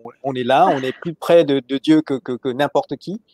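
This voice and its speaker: A man's voice: medium pitch, normal speed, a little mellow, dry recording, studio quality. A man moderating an online panel discussion, joking and stumbling over repeated words as he talks. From Paris. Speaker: webinar moderator.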